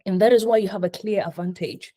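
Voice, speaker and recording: A middle-aged woman who grew up in Ghana speaks as a hospitality consultant in a live online session. Her voice is mellow and warm, and she sits at an ordinary pitch for a woman. She talks earnestly and circles back to repeat her points. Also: quick pace.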